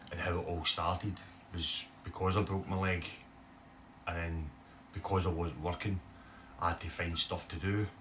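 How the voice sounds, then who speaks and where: A man with a broad Scottish accent, talking casually to camera for his bass guitar channel, rambling with lots of "eh" fillers. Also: pushed and bright, far from the mic, slight background noise. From Scotland. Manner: chatty, rambling, many "eh" fillers. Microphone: phone camera.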